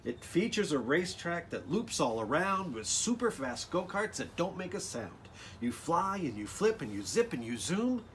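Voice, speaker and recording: A man's voice: a little high, big pitch swings, normal speed, fairly bright tone, slight background noise. A man reading a children's picture book aloud expressively, with casual asides addressed straight to young listeners. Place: outdoors.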